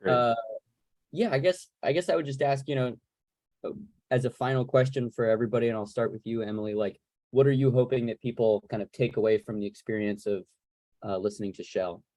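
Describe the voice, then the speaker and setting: A man moderating a casual interview over a video call, choosing his words in a hesitant, measured way. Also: mid-range voice, fairly even intonation, a little mellow, no room sound. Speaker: moderator, podcast host.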